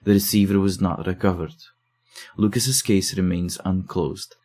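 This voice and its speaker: A man playing a sombre investigator, narrating case files in a measured, deadpan way for a horror audio drama. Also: low-pitched. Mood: grave, matter-of-fact.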